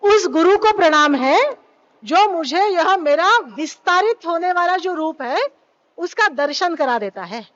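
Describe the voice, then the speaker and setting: A woman's voice: very high voice, lively intonation, unhurried, a bit bright, dull audio. A woman giving a spiritual talk at a yoga event, speaking into a microphone and often asking her listeners questions. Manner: keeps asking the audience questions; mixes in English phrases. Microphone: microphone at a live event.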